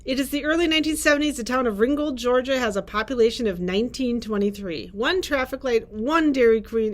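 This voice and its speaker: A woman with a fairly high voice shares book recommendations as a librarian host. She reads prepared blurbs aloud. Now and then she slips into light, informal chat.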